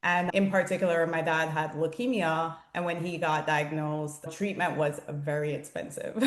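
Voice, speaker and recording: A middle-aged woman from Jamaica giving conversational, explanatory answers as an interview guest. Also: sits low. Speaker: interview guest, founder and consultant. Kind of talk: conversational interview answers about own career. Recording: roomy, echoing sound.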